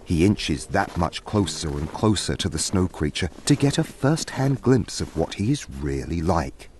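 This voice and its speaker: A man's voice: sits low, lively intonation, measured pace, darkish tone. A man reading scripted story narration as the narrator of a fantasy tale.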